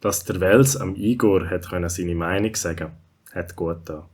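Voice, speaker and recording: A man's voice: low-pitched, steady tone, normal speed, husky. A man with a Swiss German accent narrating a scripted children's story.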